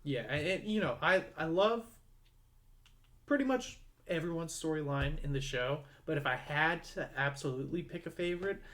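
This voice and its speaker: A man's voice: on the high side, moderate pace, fairly bright tone. A young man co-hosting a podcast, chatting casually in a rambling way full of fillers. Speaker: podcast co-host. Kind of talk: casual chat.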